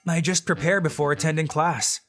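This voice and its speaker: Understated genius schoolboy narrating plainly, mid pitch, music underneath, voiced by an actor. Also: steady tone, brisk, a bit bright, lightly acted. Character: young male student, secretly brilliant, low-key. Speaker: narrator and lead of a manga dub. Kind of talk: first-person narration of a dubbed manga.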